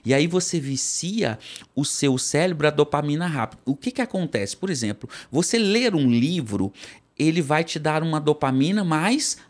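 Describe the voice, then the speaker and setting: A man's voice: a little high, lively intonation, moderate pace. A man with a São Paulo accent, a psychoanalyst guest in an interview, explaining with everyday anecdotes and often repeating words as he thinks. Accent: São Paulo Portuguese. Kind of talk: interview conversation.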